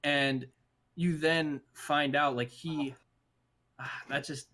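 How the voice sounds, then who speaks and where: A man's voice: a little high, fairly even intonation, slow pace, bright, forceful tone. A young man chatting casually as host of a movie livestream, emphatic, slangy and freely swearing. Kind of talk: casual chat.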